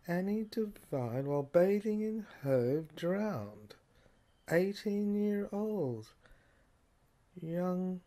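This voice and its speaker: A man playing a dry, muddled police officer in an amateur audio drama, muttering haltingly as he puzzles through files. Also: sits high, mellow, heavily acted. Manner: halting, muttering, often clearing throat. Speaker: actor in a serial audio drama.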